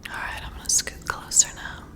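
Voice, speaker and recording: A woman whispering breathily up close to the mic in an ASMR role-play, giving soothing, gentle and deliberate instructions. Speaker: ASMR creator role-playing a boss giving a personality test. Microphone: close-up ear-to-ear microphone.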